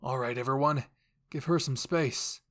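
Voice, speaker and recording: A man playing an authoritative, cautious military commander in an anime fan story, speaking in a serious, measured way. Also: medium pitch, fairly bright tone, lightly acted. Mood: calm, commanding.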